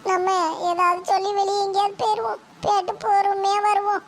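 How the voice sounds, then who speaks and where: An actor playing a mischievous schoolboy grandson, making excuses and muttering asides. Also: male voice, extremely high pitch, little pitch movement, fairly quick, creaky, a little hiss or hum. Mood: cheeky.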